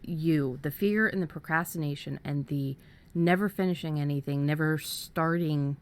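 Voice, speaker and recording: A woman with a fairly deep voice talks casually as a podcast co-host. She is earnest and encouraging, and her sentences come haltingly, with repeated words and small stumbles. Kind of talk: casual conversational writing advice.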